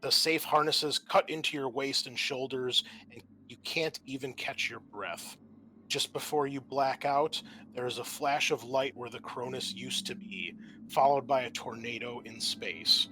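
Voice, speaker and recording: A man with a bright, forceful voice works as game master, narrating a tabletop roleplaying session. He talks casually and swears freely. Music plays behind him. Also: mid-range voice, rough recording.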